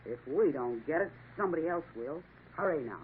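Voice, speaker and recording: A woman's voice: low voice, lively intonation, a little fast. An actress playing a brusque, bossy woman running a café in a 1950s radio drama, curt and commanding. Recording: tinny old radio broadcast, faint hiss.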